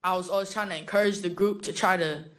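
A young woman speaks informally about her own experience. Her delivery is casual and halting, peppered with "like" as she searches for words. She sounds like a guest giving personal testimony. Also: medium pitch, fairly even intonation, fairly bright tone. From born in the Philippines, raised in San Francisco from age eight. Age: young adult.

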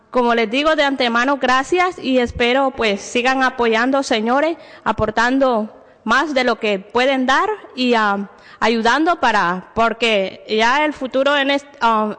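A woman's voice: a little high, fairly bright tone. A woman from Honduras with a Honduran Spanish accent, making a personal plea at a public hearing. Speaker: single mother giving public testimony. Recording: echoey hall, long reverb.

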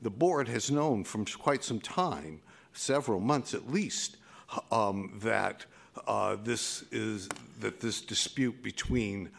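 A man giving a public comment at a board meeting, pressing pointed questions with many pauses and fillers. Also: fairly low pitch, vocal fry, fairly bright tone.

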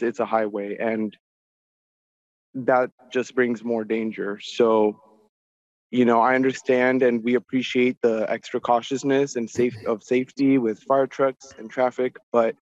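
A man giving informal public comment over a remote call from outdoors, speaking in an earnest, insistent way. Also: medium pitch. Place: outdoors near a highway. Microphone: remote call into meeting. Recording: dull, roomy remote-call audio.

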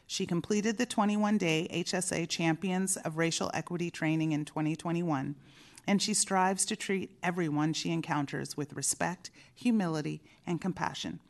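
A woman's voice: on the low side, fairly even intonation, moderate pace. A woman at a commission meeting, steadily reading a prepared employee-of-the-month citation aloud.

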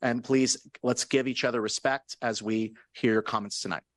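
A man chairs a council session's public comment, steady and procedural, reining in speakers with courteous but firm interruptions. His voice sits mid-range and sounds close and dry, with no room echo. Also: medium pitch, normal speed. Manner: firm, procedural, repeats polite cut-offs. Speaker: presiding officer of a city council. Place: council chamber.

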